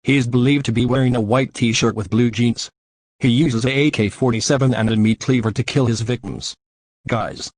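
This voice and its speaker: A man's voice: medium pitch, no room sound, lightly acted. A man playing a local TV news anchor giving an urgent, direct warning to viewers in a scripted emergency bulletin.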